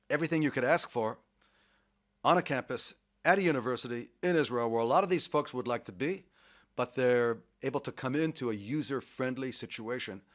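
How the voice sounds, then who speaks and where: A middle-aged man speaks with eager enthusiasm in a radio interview. He talks at length, picks up sports metaphors and sometimes restarts a word mid-sentence. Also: mid-range voice. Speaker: university vice president, interviewee.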